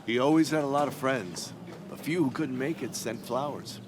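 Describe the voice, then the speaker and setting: A man acting a dry, composed video game figure at a wake. His medium-pitched voice is formal and measured, with a wry edge. Ambient noise is clearly heard behind him. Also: lightly acted. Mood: matter-of-fact.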